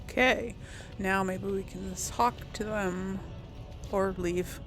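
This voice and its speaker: A woman's voice: medium pitch, steady tone, slow, fairly bright tone, soft background music. A woman doing casual, musing game commentary, thinking aloud as she plays and role-plays. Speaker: gamer host of a role-play let's play.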